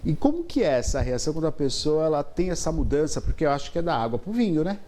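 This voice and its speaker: A man's voice: on the high side, big pitch swings, fast talker, mellow. A man with a Brazilian Portuguese accent hosting a casual TV interview in a studio, chatty and full of "né?" and backchannel murmurs. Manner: chatty, frequent "né?" and "uhum" backchannels. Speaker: TV host.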